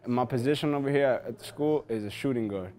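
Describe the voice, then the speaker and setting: A man's voice: mid-range voice, fast, mellow. A young man, a college basketball player, introducing himself sincerely in a short interview. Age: young adult. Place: empty basketball arena.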